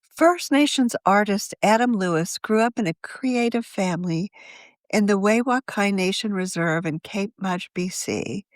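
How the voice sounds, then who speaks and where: A woman reading a written article aloud as a magazine editor, in a measured, journalistic manner of scripted narration. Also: mid-range voice, no room sound, clean studio sound.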